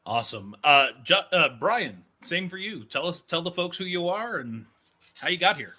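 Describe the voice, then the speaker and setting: Very bright, forceful man hosting. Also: a little high, big pitch swings, moderate pace. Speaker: show host. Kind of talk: casual interview chat.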